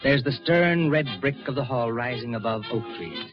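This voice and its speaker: Male actor as suave, ominous radio mystery host, measured and formal, muffled old recording with music behind. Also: mid-range voice, sing-song, close to an everyday voice. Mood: descriptive, measured. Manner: measured, formal, dramatic delivery. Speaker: narrator and program host. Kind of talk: 1940s radio suspense narration.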